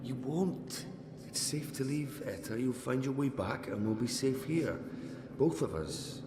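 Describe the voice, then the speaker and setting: An actor playing a tender, earnest, protective young father in a video game cutscene, with a gentle, reassuring manner. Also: medium pitch, expressive, measured pace, echoey room, background noise, natural voice. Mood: reassuring, subdued.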